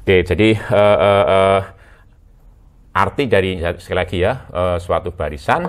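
A man in his sixties with an Indonesian accent, lecturing at a chalkboard in a halting way, with many hesitations and check words. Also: low voice, fairly even intonation, clean tone. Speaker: university physics lecturer. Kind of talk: chalkboard lecture, explaining as he writes. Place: indoor room in front of chalkboards.

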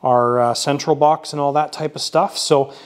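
A man's voice: fairly high pitch, fairly even intonation, moderate pace. A man casually narrating his model aircraft build in a workshop, thinking aloud with frequent fillers.

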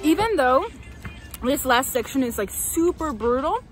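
High, animated young woman vlogger. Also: moderate pace. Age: young adult. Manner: exuberant, whooping, playful. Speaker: vlog host. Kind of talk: casual excited vlog chat to camera. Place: outdoors on roadsides and in car parks. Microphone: handheld camera at arm's length. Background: music.

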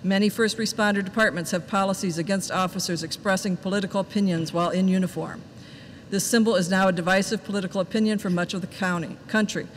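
A woman in her fifties, a village trustee, reading public comments aloud in a steady, plain voice at a desk microphone in a large meeting hall. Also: medium pitch, quick pace. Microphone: desk microphone at some distance. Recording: reverberant hall, faint background noise.

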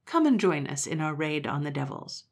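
A woman's voice: sits low, sing-song, moderate pace, heavily acted. A woman voicing a young male hero who leads an animal army, speaking in a firm, commanding way. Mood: friendly.

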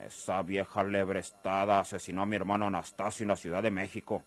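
An actor playing a blunt, menacing crime boss with a rural Mexican accent in a radio drama. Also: male voice, sits low, little pitch movement, a little fast, no room sound, natural voice. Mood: cold, menacing.

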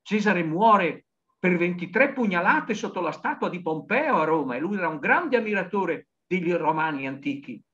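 A man's voice: sits high, animated, normal speed, fairly bright tone. An older man gives an impassioned interview reflection in a declamatory, emphatic way. His intonation swings widely and animatedly. The sound is dull and lo-fi, as from a video call. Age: older, 60s to 70s. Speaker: novelist guest. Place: book-lined study indoors, on a video call. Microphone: computer video-call microphone.

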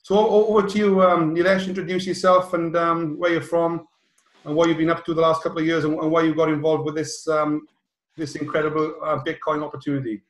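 Echoey, even-toned middle-aged British man. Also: fairly high pitch, a little fast. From South Wales, UK. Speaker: host of an online presentation call. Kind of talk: informal webinar introduction. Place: small indoor office room, on a video call. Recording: roomy, echoey video call.

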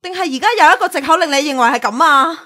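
A woman's voice: high voice, fast, sharp tone. A woman playing a devoted but neglected young girlfriend, pleading and hurt, in an audio drama. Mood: hurt, indignant.

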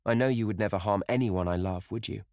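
A man's voice: on the low side, fast talker, a little mellow, dry recording, clean studio sound, voice pushed up, lightly acted. A man voicing a dreamy, playful young woman in love, as an audiobook character. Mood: joyful, tender.

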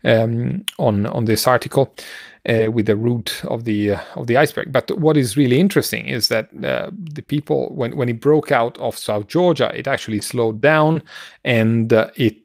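A middle-aged man with a gravelly voice, co-hosting a casual science news discussion in a halting way, searching for words. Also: medium pitch, no room sound, studio quality. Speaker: podcast co-host. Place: home room, video call. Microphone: headset on a video call.

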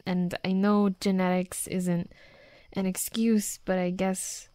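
A woman playing a hot-headed, flustered young female werewolf, a softening bully, in an intimate roleplay audio drama, speaking haltingly and defensively. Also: medium pitch, flat delivery, clean tone, no room sound, natural voice. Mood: earnest, self-critical. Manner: halting, defensive, teasing, often dropping to soft murmurs.

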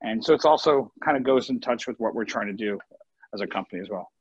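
A man giving a casual cooking demo as a chef over a video call, talking informally and haltingly as he thinks aloud. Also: fairly low pitch, husky, dry recording. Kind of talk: casual live cooking demo, question and answer. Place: home kitchen on a live video call. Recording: muffled dry online video-call sound.

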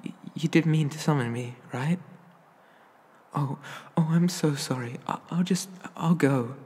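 A man playing a shy, awkward, inexperienced young incubus demon in an ASMR roleplay, stammering and apologetic, with a husky, breathy voice. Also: on the high side, a little slow, a little mellow, long reverb tail. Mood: flustered, apologetic.

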